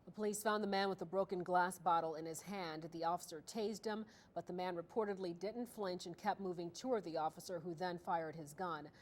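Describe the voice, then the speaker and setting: A woman's voice: fairly low pitch, steady tone, brisk, few pauses, a little hiss or hum. An American woman working as a TV news anchor, reading scripted news narration. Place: TV news studio.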